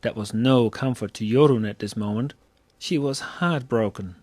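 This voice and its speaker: A man's voice: mid-range voice, normal speed, a little mellow, dry recording. A man narrating a scripted story as a storyteller.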